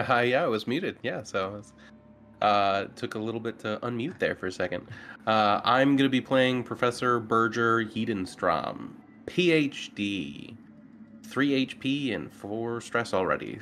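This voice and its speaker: A man's voice: fairly low pitch, expressive, a little slow, fairly bright tone, slight background noise. A man chatting casually as a player in a tabletop game. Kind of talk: casual chat.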